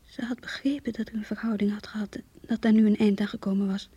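An actress playing a heartbroken young woman who confides her lost love in an intimate, halting radio play monologue, breathy and hushed. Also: mid-range voice, flat delivery, no room sound. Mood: wistful.

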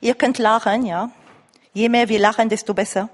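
A woman from France with a foreign accent, speaking from the floor haltingly and insistently as she searches for words. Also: on the high side, fairly even intonation. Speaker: audience member at a lecture. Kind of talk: spontaneous comment from the floor. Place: reverberant lecture room or hall. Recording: echoey hall recording of live talk.

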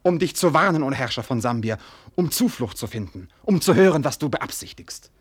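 An actor playing a proud, defiant young Malay seafaring prince in a German radio play, with impassioned, declamatory delivery. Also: male voice, medium pitch, animated, brisk, breathy edge. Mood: agitated, defiant.

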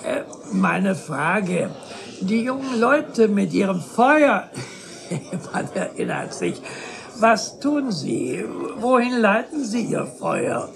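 An actor playing a pompous, chatty provincial gentleman in a German radio drama, effusive and rhetorical in manner. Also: high voice, very animated, vocal fry, crowd noise, heavily acted. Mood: self-satisfied, probing. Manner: effusive, rhetorical, with drawn-out pauses.

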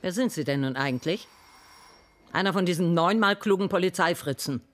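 A woman's voice: on the low side, a little fast, close to an everyday voice. An actress in a radio crime drama playing an indignant, shaken woman witness, querulous and brusque. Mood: indignant, agitated. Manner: querulous, brusque, easily agitated.

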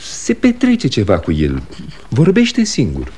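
An actor playing an eager, concerned younger brother in a radio drama, with a lively, questioning manner. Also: male voice, medium pitch, slightly rough. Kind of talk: 1960 Romanian radio theatre acting.

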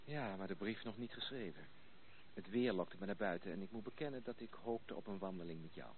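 An actor playing a courteous, gallant young gentleman in a Dutch radio play, politely teasing and gently reproving. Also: deep voice, brisk. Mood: interested. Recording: tinny, band-limited, noisy old radio recording.

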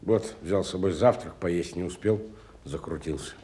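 An actor playing a wealthy, educated, bitter industrialist in a radio stage drama, theatrical and musing, moving between soft and emphatic speech. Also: male voice, sits low, moderate pace, close to an everyday voice. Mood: hospitable, probing.

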